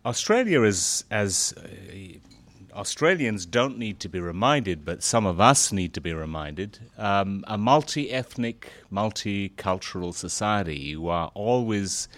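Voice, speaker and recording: A middle-aged man and former foreign correspondent speaking to a gathering, halting with fillers at first, then growing more animated. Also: on the low side, dry recording. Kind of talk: speech to an audience.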